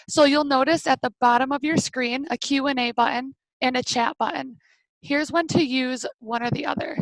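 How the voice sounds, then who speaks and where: High-voiced woman moderating a webinar. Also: no echo, poor audio. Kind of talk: housekeeping announcements.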